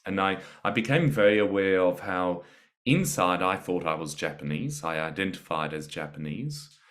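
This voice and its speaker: A man with a slight British accent, speaking as a guest on a podcast about teaching teenagers, measured and reflective with frequent pauses. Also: deep voice, lively intonation, a bit bright. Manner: measured, reflective, pausing often. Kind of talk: podcast interview.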